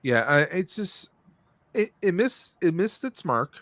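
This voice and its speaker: A man's voice: high-pitched, sing-song, a little slow, a bit bright, no room sound. A man hosting a live stream, giving a casual, rambling, jokey movie review.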